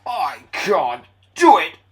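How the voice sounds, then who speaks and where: A big, boastful steam engine from a storybook, acted out by a man. The voice booms slowly and pompously, swinging widely in pitch as it brags and grumbles. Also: huge pitch swings, fairly bright tone, full character voice. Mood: straining, grumbling. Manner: pompous, booming, drawn-out delivery.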